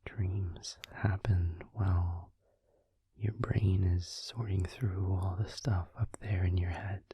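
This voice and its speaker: A man playing a gentle vampire boyfriend in an intimate comfort roleplay, soothing and tender in a hushed, breathy whisper close to the microphone. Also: sits low, fairly even intonation, dry recording, full character voice. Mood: reassuring. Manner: soothing, tender, slow and reassuring.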